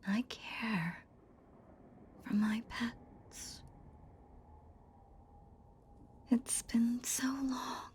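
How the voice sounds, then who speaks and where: Woman acting a very slow, whispering possessive goddess. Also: a little high, steady tone, a little mellow. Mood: tender, coaxing. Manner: slow, breathy whispering with long pauses, coaxing. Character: possessive, hypnotic goddess coaxing a captive pet, tender yet commanding. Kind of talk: whispered ASMR roleplay. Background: ambient sound effects.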